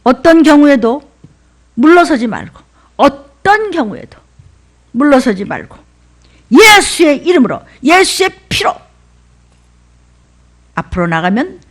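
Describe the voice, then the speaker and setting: A middle-aged woman pastor with a Seoul accent preaches with a lively, swooping voice that sits a little high. She drives her points in rhythmic lists, now and then falling to a whisper or rising to a shout. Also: measured pace, long pauses. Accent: Seoul dialect. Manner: emphatic, rhythmic listing with sudden whispers and shouts. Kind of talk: sermon.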